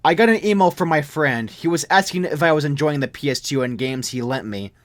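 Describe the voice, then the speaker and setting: A man's voice: fairly high pitch, fairly quick, dry recording. A young man reading a scripted first-person story as a creepypasta narrator. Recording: music behind the voice. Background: music.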